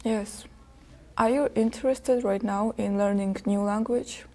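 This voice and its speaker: A young woman, a student, talking thoughtfully on a podcast with slow, hesitant phrasing. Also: mid-range voice, steady tone, darkish tone. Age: young adult. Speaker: podcast guest. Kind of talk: casual conversation. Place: podcast studio.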